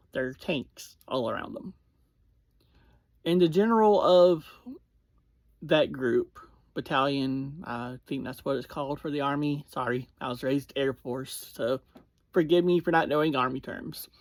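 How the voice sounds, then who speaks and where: Casual recap reviewer: unhurried, halting delivery in short phrases, medium pitch, very dry sound. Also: no echo. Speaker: online reviewer.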